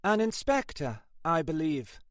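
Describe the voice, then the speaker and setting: A man's voice: fairly high pitch, sing-song, a little slow, no room sound, studio quality, voice pushed up. A man voicing a posh, fussy lady of the house who gushes like a genteel hostess. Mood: gushing.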